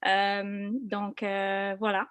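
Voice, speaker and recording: Slow, hesitant woman, dry sound. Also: mid-range voice, monotone, clear, resonant tone, no echo. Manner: hesitant, searching for words. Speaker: artist, panel guest. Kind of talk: personal unscripted talk.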